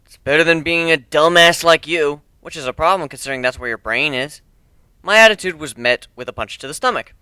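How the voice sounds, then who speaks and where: Bullied teen nerd narrator male reader: sardonic, bitter, punchy emphasis, clean sharp voice, dry close recording, dramatic story reading. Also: fairly high pitch, brisk, clear, resonant tone, no room sound. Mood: cocky.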